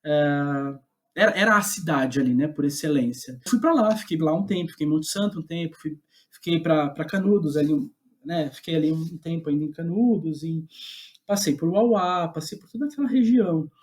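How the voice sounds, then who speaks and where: A man with a Brazilian Portuguese accent speaking as an interview guest, casual and reflective, halting as he thinks aloud. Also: on the high side. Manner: hesitant, halting, thinking aloud with repeated words. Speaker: podcast guest, playwright.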